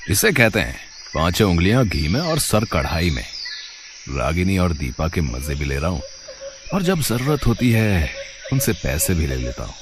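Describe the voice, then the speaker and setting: A man's voice: sits low, sing-song, moderate pace. A man playing a smug, scheming lover in a story, gloating with a swagger over his cheating. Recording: clearly noisy background.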